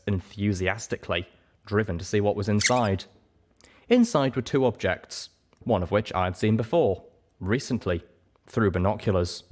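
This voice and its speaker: Low-voiced, very animated male story narrator. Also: noisy background. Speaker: audiobook reader. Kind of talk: expressive first-person scripted narration.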